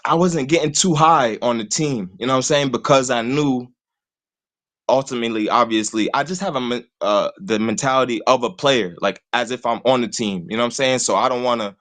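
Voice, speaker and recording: A man's voice: medium pitch, brisk, a bit bright. A man, talking sports casually and rambling, leaning on "you know what I'm saying" all the time. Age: 20s. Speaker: call-in guest host.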